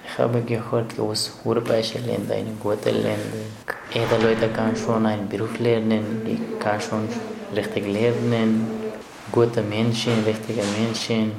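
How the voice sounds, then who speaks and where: Low gravelly man with an Afghan accent. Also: completely flat delivery, a crowd in the background. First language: language of Afghanistan. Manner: halting, broken German with short phrases. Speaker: interviewee, asylum seeker and runner. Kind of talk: interview answers in simple German. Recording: echoey room with clear background noise.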